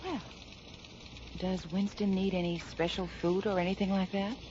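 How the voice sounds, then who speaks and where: A woman's voice: medium pitch, fairly even intonation, normal speed, warm tone, lightly acted. An actress playing a friendly, curious woman doctor on a space station in a radio drama. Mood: delighted, curious. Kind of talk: science fiction radio drama acting. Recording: muffled radio drama, noisy ambience behind.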